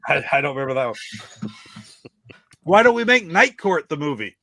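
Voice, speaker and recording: A man's voice: fairly high pitch, very animated, moderate pace, bright, forceful tone, dry recording. A man talking casually as a panelist in a group chat show. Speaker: podcast panelist.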